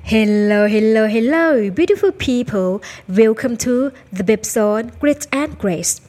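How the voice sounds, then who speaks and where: Upbeat Thai-accented woman in her thirties hosting a solo chat podcast, voice on the higher side. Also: expressive, measured pace, darkish tone, no room sound, faint music behind. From Thailand. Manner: bubbly, upbeat and chatty. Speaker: podcast host.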